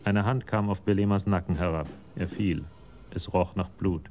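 An actor as the sober, detached storyteller narrating a scripted radio crime drama. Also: male voice, deep voice, fairly even intonation, normal speed, darkish tone, faint music behind, lightly acted.

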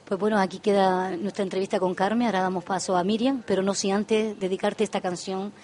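A woman's voice: medium pitch, flat delivery, a little fast, a little mellow, slight background noise. A woman hosting a live radio interview, effusive and affectionate, often repeating and restarting her phrases. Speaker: radio show host.

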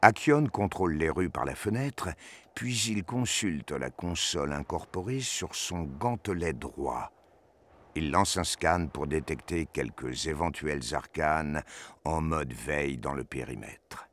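A man's voice: very deep voice, animated, unhurried, fairly bright tone, slight background noise. A man narrating an audio drama as a scripted storyteller. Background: music.

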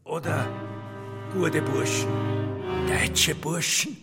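An actor playing a sinister, fawning castle caretaker in a radio drama, with an Austrian accent and an erratic, muttering, coarse manner. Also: male voice, on the high side, drawn-out words, creaky, exaggerated voice. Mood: menacing. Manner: muttering, erratic, gushing then threatening. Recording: long cavernous reverb, music underneath.

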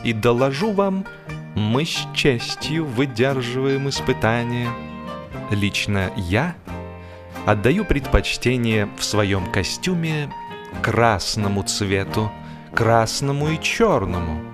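A man reading a humorous story as an audiobook narrator, in an expressive, measured storytelling manner. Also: medium pitch. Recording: music behind the voice. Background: instrumental music.

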